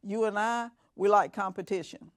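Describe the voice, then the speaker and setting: A middle-aged woman speaking as a guest mentor, measured and reflective, often repeating phrases for emphasis. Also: mid-range voice, no room sound, studio quality. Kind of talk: reflective interview talk.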